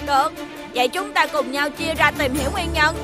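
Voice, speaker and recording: Woman as a high-voiced, decisive cartoon kid. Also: normal speed, music in the background, lightly acted. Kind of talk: animated children's series dubbing.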